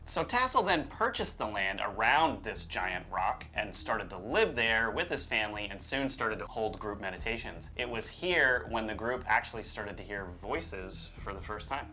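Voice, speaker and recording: A male show host with a bright, forceful voice and faint noise behind him. He moves between scripted narration and casual, incredulous commentary in a conversational way. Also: fairly low pitch, very animated, moderate pace, few pauses.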